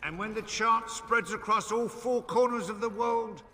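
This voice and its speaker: A devout chanter reciting scripture in a fantasy game. His high, bright voice rings with long reverb through a big hall. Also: a little slow. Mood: devout, fervent. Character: devout male chanter reciting holy verse. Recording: distant, echoing large hall.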